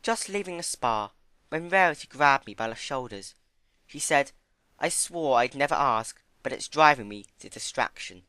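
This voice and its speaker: A man voicing a timid, gentle young female pony with an earnest, hesitant manner, in a story reading. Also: medium pitch, animated, normal speed, smooth, dry recording, pitched above the performer's own voice. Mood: earnest, puzzled.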